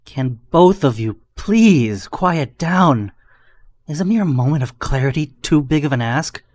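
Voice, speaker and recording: A man's voice: fairly high pitch, animated, unhurried, darkish tone, no room sound, voice pushed up. A man voicing a tormented young villain, exasperated and furious at the voices in his head, in a strained manner. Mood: furious, anguished.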